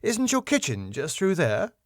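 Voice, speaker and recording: A man's voice: fairly high pitch, wildly swooping pitch, unhurried, dry recording, clean studio sound, voice pushed up. A man voicing a stern female council inspector in a sitcom, formal, clipped and pompous. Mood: insistent. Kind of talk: sitcom script read aloud.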